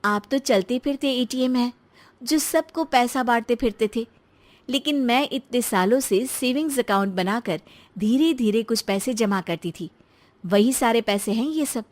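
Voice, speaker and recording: A thrifty young wife in a cartoon story complains, insistent and fed up with her husband's spending, as a woman voices her. Her voice is fairly high and pleading. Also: moderate pace, lightly acted.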